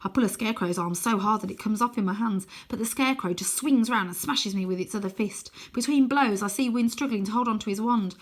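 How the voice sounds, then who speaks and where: A woman reading a children's storybook aloud in an expressive, dramatic way, sometimes hushing her voice for suspense. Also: medium pitch. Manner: dramatic, deliberate, dropping to hushed tones for suspense.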